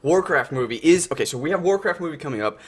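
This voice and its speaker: A man's voice: a little high, lively intonation, quick pace, husky. A man co-hosting a podcast over an online call, chatting casually in an enthusiastic, slangy way. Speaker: podcast co-host. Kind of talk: casual group chat.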